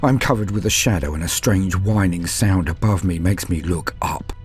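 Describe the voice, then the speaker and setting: A man's voice: low voice, soft background music, close to an everyday voice. A man playing a fearful, despairing human soldier, telling his story in grave, theatrical first-person narration. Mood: awed horror. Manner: grave, theatrical, deliberate phrasing.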